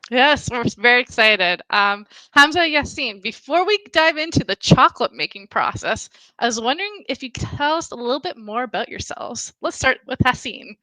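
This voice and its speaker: A woman reads out upbeat event announcements in a bright, slightly high voice. The sound is dry and close to the mic, with no room echo. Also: lively intonation, normal speed, hardly any pauses. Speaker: event host. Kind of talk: scripted live-stream hosting.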